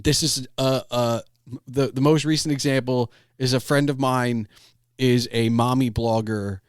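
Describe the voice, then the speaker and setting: A man chatting casually as a podcast host, telling anecdotes in an animated way and acting out imagined quotes. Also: mid-range voice, a little slow, clean tone, no echo, clean studio sound.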